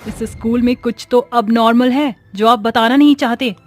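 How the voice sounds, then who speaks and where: A woman's voice: fairly high pitch, fairly even intonation, normal speed, soft background music, lightly acted. A woman voicing a brave, devout female schoolteacher in a horror story, emphatic and challenging. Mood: determined. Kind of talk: dramatic horror story acting.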